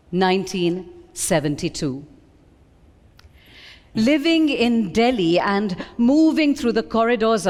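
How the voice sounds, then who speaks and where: Female reader, high-pitched and unhurried, theatrical and expressive, reading a biography aloud in a reverberant hall. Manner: measured, expressive, theatrical delivery. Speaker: reader at a book event.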